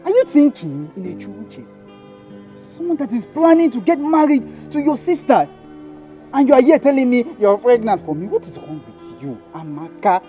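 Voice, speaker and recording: A man's voice: high-pitched, big pitch swings. A man playing a furious young lover. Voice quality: loud and rapid, shouting accusations. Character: young man, Victor, denying he fathered a child. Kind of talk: Nollywood-style skit acting. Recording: muffled audio under background music.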